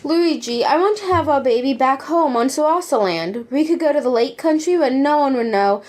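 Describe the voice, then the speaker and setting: A woman's voice: high voice, animated, normal speed, darkish tone. A woman playing a loving, anxious young expectant mother in a parody audio drama.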